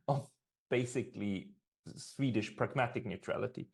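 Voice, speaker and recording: Slow-speaking male moderator. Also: medium pitch, lively intonation, creaky. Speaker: host, moderator. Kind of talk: panel moderation.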